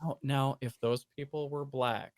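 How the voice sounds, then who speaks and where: A man's voice: mid-range voice, steady tone, measured pace, dry recording, studio quality. A man in his late twenties from the United States, talking earnestly in a casual conversation as a streamer guest, with many restarts and fillers. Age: 20s.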